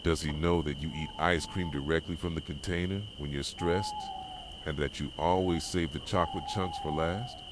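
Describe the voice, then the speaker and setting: A man playing a possessive, wounded yandere boyfriend in an intense, pleading ASMR roleplay monologue, with a soft voice. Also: a bass voice, fairly even intonation, normal speed. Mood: possessive, insistent. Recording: noisy track with night crickets and owls. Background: night ambience of crickets, owls and insects.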